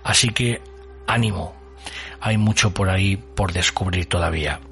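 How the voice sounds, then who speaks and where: A man presenting a podcast as host, narrating a scripted introduction with measured, colloquial phrasing and dramatic pauses. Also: low-pitched, creaky, fairly bright tone, faint music behind.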